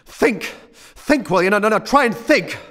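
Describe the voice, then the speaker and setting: A man's voice: sits high, expressive, normal speed, fairly bright tone. An actor playing a desperate, hot-tempered younger man in a radio play, urgent and insistent. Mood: frantic, furious. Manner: urgent, insistent, repeating himself. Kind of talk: stage radio play acting.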